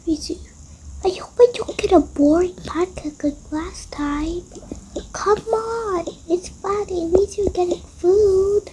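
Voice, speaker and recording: A young female creator voicing an excitable, picky child in a toy roleplay, whiny and eager. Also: extremely high pitch, slow, slight background noise, pitched above the performer's own voice. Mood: excited.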